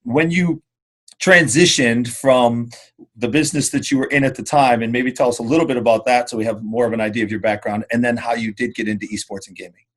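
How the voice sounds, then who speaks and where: Quick talking host guy, kinda husky, chatty and upbeat. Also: medium pitch, steady tone. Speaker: podcast host. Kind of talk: casual interview chat.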